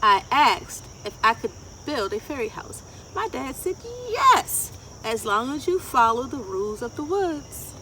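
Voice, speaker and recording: A middle-aged woman with a fairly high voice reads a children's picture book with expressive, deliberate phrasing. She is recorded outdoors a little way from the mic, with faint insect noise and ambience around her. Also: far from the mic, rough recording. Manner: expressive, asks listeners questions, deliberate phrasing. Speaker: children's storyteller. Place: outdoors in a wooded garden.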